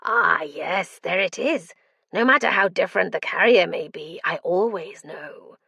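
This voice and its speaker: A man's voice: high-pitched, unhurried, vocal fry, pushed and bright, slightly rough, no room sound, full character voice. A woman doing a manic grinning madman. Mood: sly. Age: 40s. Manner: manic, gleeful, swings to whispers. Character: mad grinning male prisoner, scarred face, gleeful.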